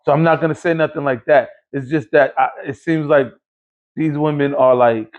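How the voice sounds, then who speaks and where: A man's voice: a little high, fairly even intonation, normal speed, muffled recording. A man in his late forties hosting a podcast, telling a long, rambling, profane story in casual chat. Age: 40s. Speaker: podcast host.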